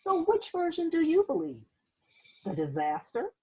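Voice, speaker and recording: A woman reading a children's story aloud like a teacher, expressive and dramatic, with asides to her listeners. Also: extremely high pitch, normal speed, rough recording. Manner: animated, dramatic, acts out sound words and exclamations.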